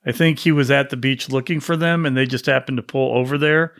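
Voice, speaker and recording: A man's voice: medium pitch, steady tone, fairly quick, a bit bright. A man co-hosting a podcast in casual chat, asking pointed questions and picking apart details. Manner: questioning, argumentative. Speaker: podcast co-host.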